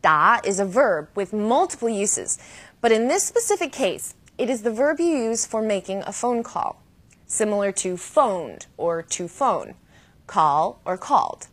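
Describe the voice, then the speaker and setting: A woman hosting a language lesson with scripted, didactic delivery, slowing down to model key phrases. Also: mid-range voice, very animated. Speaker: host and language teacher. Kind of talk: scripted educational presenting.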